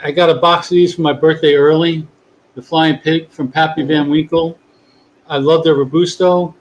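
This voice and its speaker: A man's voice: a little high, normal speed, low-quality recording. Chatty New man hosting. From New York. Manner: rambling, relaxed, many restarts. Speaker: podcast host. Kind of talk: casual chat. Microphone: video call.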